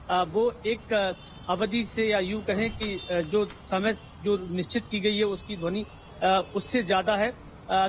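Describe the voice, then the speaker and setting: A man's voice: high-pitched, a little hiss or hum. A male news correspondent giving an unscripted live report with frequent hesitations, over a dull lo-fi link.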